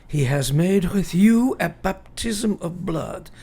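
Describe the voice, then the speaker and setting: An actor playing a grave, commanding elderly professor in a radio play, speaking in formal, old-fashioned, deliberate phrases. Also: male voice, sits high, animated, creaky, dark tone, breathy edge, exaggerated voice.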